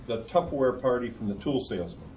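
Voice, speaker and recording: A man speaking as a board member in a meeting discussion, halting and repeating words as he insists on his point. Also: mid-range voice, lively intonation, darkish tone. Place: meeting room. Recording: roomy, dull, distant recording with noise.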